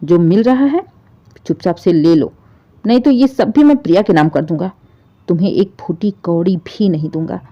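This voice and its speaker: A woman's voice: fairly low pitch, moderate pace, lightly acted. A woman voicing an elderly widowed father in a moral story, wounded, proud and resolute, speaking with emphatic feeling. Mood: resolute, angry. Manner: emphatic, emotional pleading and scolding. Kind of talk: dramatised Hindi moral story. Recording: dull, muffled lo-fi audio.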